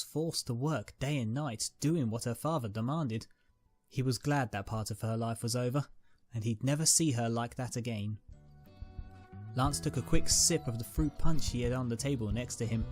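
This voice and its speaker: A man narrates scripted dramatic fiction in a mid-pitched voice. Faint music plays behind the storytelling.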